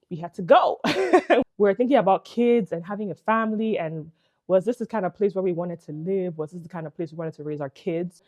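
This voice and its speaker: This is a young woman with a Nigerian English accent, telling her own story for her channel. Her voice sits a touch low for a woman, and she rambles easily with fillers and small laughs. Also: darkish tone. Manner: chatty, frequent "like" and "you know", laughs between phrases. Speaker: vlogger. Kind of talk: casual personal storytelling to camera.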